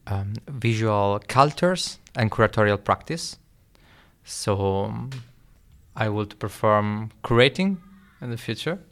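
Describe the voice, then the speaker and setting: Young male podcast guest, unhurried pace, smooth lowish voice. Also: a bit bright. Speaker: art student, podcast guest. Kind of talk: interview answers.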